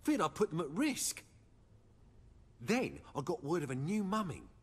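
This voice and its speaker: An actor playing an anxious, earnest shapeshifter in a fantasy game, timid but loyal and expressive. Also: male voice, on the high side, a little slow, husky, big-room sound, full character voice. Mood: anxious, regretful.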